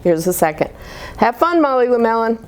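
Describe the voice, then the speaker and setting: A woman reading a picture book aloud as a storyteller, with an expressive, deliberate delivery meant for young children. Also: fairly high pitch.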